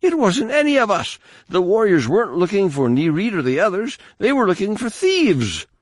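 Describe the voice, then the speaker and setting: Shrieking panicked captive guy, wildly swooping high voice, dry close sound, audiobook. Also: very animated, moderate pace, no room sound. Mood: frantic pleading. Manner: high-pitched, frantic, trembling pleading. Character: terrified young male captive, pleading frantically.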